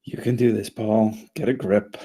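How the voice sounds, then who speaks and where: A man playing an anxious, hapless spaceman with a husky voice and nervous manner in a comedy table read. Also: on the low side, level tone, normal speed, mellow. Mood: nervous pep talk. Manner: nervous, self-coaxing.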